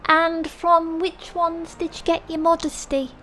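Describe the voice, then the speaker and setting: A woman playing an easily impressed, gently teasing house guest in an audio drama, speaking in a light, conversational way. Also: extremely high pitch, level tone, measured pace, full character voice. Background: music.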